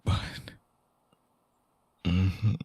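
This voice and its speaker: A man voicing a lovesick vampire in intimate ASMR roleplay, husky and breathy, often dropping toward a whisper, halting, tender and earnest, close on the mic. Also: darkish tone, no room sound, soft background music. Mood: remorseful, pleading. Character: lovesick male vampire returning to his lost lover. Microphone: close binaural microphone.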